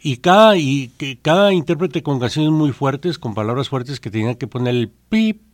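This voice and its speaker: A man's voice: medium pitch, expressive, normal speed, dry recording. A man with a Mexican accent co-hosting a radio show, telling rambling stories in casual chat. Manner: chatty, rambling storyteller. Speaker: radio co-host.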